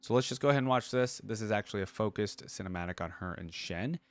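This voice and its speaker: A man's voice: on the low side, fast talker, dry recording. A man hosting a reaction channel with casual, off-the-cuff commentary, informal and easily impressed.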